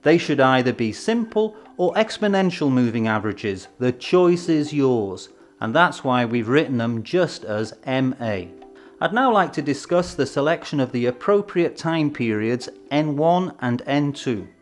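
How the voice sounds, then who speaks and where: A man presenting an educational film in a scripted, measured, explanatory way with emphatic phrasing. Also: medium pitch, animated. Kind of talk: scripted instructional narration. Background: background music.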